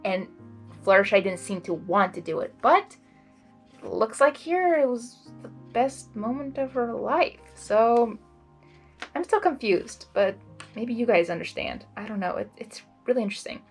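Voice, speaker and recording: High-voiced woman reading and reacting. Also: a little slow, husky, faint music behind. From Hungary. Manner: expressive, rambling asides. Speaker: fan fiction reader and commentator. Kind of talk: blind reading with casual reaction commentary.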